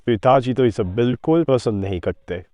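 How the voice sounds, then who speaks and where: A man's voice: mid-range voice, moderate pace, dry recording, lo-fi sound, natural voice. A man playing a jealous elder prince in a cartoon story. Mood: scornful.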